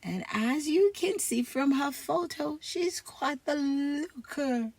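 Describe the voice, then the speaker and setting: A woman's voice: high-pitched, animated, slow, vocal fry, a little mellow, dry recording, full character voice. A woman voicing a boastful, geeky, defensive uncle from a comic strip in a children's read-aloud.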